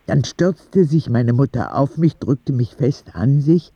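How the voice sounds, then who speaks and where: A man narrating a radio drama in the first person as a cheeky boy, soft and husky. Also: mid-range voice, animated, slow, dry recording, lo-fi sound, exaggerated voice. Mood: wistful. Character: cheeky ten-year-old boy narrating his own story.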